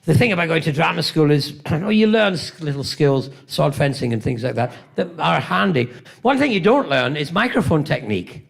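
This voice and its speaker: Gravelly older British man telling stories. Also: a little high, sing-song, moderate pace. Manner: rambling anecdotal, playful, self-mocking asides. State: coughing, tickle in throat. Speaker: convention guest actor. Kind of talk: convention Q&A storytelling. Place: convention hall stage.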